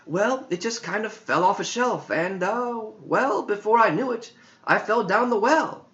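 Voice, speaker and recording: A high, eager boy student from a storybook, acted out by a man. He questions and exclaims in a hesitant, naive way and is easily daunted. The sound is slightly dull and lo-fi. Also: animated, unhurried, a bit bright. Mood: sheepish. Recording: dull lo-fi audio.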